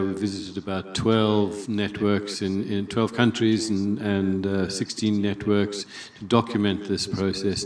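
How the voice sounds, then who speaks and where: A man speaking at a conference session in a measured, steady way, with frequent pauses and fillers. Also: low voice. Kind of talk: conference remarks. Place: large conference hall. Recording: echoey room, distant mic.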